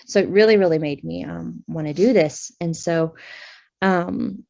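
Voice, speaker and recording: A woman with a somewhat low voice speaks candidly and conversationally, often pausing on fillers as she talks to a conference audience. The audio is dull and lo-fi. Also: vocal fry, darkish tone. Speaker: speech pathologist. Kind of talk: personal talk at a conference session.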